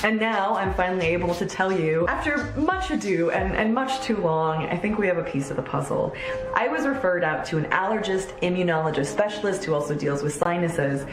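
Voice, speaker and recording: A woman's voice: fairly low pitch, lively intonation, a little fast, over music. Woman, 30s, vlog host; candid, enthusiastic, a bit congested; echoey room. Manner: chatty, candid, warmly enthusiastic. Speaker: vlogger host. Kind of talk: casual personal vlog.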